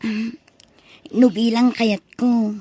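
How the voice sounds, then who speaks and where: An actress playing a dutiful grown daughter in an Ilocano comedy radio drama. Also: female voice, a little high, little pitch movement, takes it slowly, mellow, no room sound. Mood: questioning.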